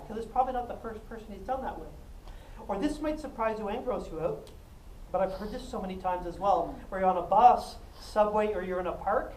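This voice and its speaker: A woman giving a self-defense talk, animated and jokey, often laughing and acting out what people said. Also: mid-range voice. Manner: animated, jokey, laughs a lot, acts out quotes. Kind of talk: lively storytelling talk to a class. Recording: echoey room, mic at a distance, faint noise.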